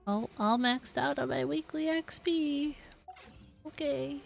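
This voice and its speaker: A woman's voice: sits high, takes it slowly, creaky, faint music behind. A woman live-streaming a video game, giving casual, playful commentary in short reactive asides.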